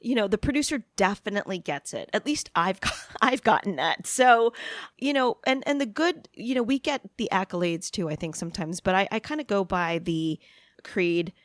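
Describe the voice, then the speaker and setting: Woman from new york, chatty, laughs easily, self-deprecating, medium pitch, dry close sound. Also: expressive, moderate pace, dry recording. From New York City, USA. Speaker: TV and media producer, guest. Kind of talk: casual interview conversation.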